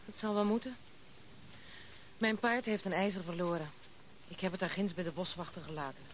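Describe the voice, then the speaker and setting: A woman's voice: on the low side, a little fast, lightly acted. An actress playing a proud, outspoken young noblewoman in a Dutch radio play, with a brusque, mocking manner. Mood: brusque, wary. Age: 20s. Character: blunt, proud, unconventional young noblewoman of twenty-six. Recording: tinny band-limited old radio play recording, noisy.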